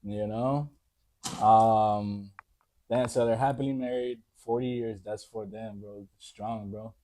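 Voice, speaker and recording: A man's voice: lowish voice, steady tone, slow pace, warm tone, slight background noise, rough recording. A man in his late thirties hosting a podcast, talking casually with slang, swearing and Spanish phrases mixed in. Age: 30s. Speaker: podcast host. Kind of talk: casual chat.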